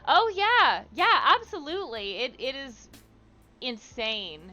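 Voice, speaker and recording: A woman's voice: sits high, sing-song, slow, pushed and bright, lo-fi sound, faint music behind. A woman hosting a livestream, chatting casually and encouragingly with viewers and reading their comments aloud. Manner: encouraging, supportive. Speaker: livestream host.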